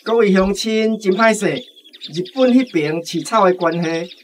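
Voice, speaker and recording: An actor playing an apologetic, formal company manager in a radio drama, with a Taiwanese accent. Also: male voice, high-pitched, normal speed, lightly acted. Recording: noisy scene with chirping birds.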